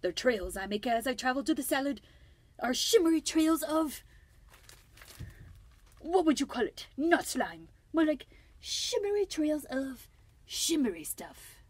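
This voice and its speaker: A woman's voice: high-pitched, sing-song, a little slow, long pauses, voice pushed up. Woman putting on a vain, dramatic French snail. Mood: boastful. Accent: exaggerated mock French. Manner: pompous, theatrical. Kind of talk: storybook read-aloud character.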